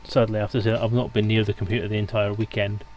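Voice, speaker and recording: Male host for a relaxed gaming stream, speaking quickly in a lowish register. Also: background noise. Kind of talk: casual chat.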